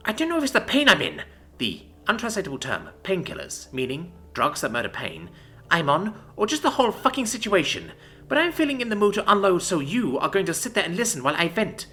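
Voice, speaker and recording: A man's voice: high-pitched, wildly swooping pitch, racing, hardly any pauses, fairly bright tone, pitched above the performer's own voice, heavily acted. A man voicing a bitter, sneering young woman military commander who vents her fury in a profane rant. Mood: furious venting.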